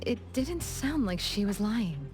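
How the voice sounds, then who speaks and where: A woman's voice: mid-range voice, very animated, unhurried. A woman voicing a poised, gracious young lady in a video game, composed and polite with a lightly teasing touch. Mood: thoughtful. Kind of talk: game dialogue acting, storytelling. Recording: music behind the voice.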